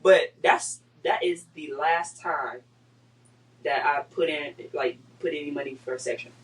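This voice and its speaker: A man, chatting on a podcast. His voice sits a little high and comes from a bit back from the mic. He tells stories with lively, teasing energy. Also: measured pace, far from the mic, poor audio. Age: 30s. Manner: animated storyteller, laughing and teasing. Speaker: podcast co-host. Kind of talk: casual chat.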